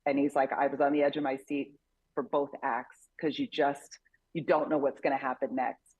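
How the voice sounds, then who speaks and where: A woman's voice: a bass voice, little pitch movement, normal speed, husky, dry recording, narrow, tinny audio. A woman chatting casually and enthusiastically as an interview guest actress and producer.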